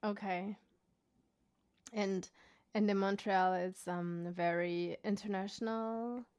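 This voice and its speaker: A woman's voice: medium pitch, fairly even intonation, takes it slowly, a little mellow, smooth, no echo, studio quality. A woman hosting a podcast, friendly and chatty, asking questions with little laughs and backchannels. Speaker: podcast host. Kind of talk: casual interview conversation.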